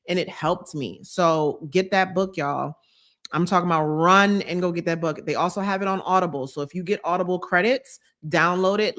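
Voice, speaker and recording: A woman's voice: lowish voice, fairly bright tone. A young woman from New Jersey, a YouTube creator, chatting on a live stream and reading comments, emphatic and repeating her advice. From United States, New Jersey.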